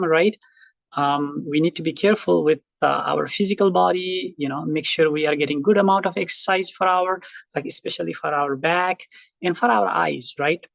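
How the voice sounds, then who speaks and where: A man's voice: on the high side, vocal fry, dry recording. A middle-aged man from Nepal with a Nepali accent, speaking casually and reflectively to his online class, with many fillers and restarts. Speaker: college instructor. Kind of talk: casual lecture to online students.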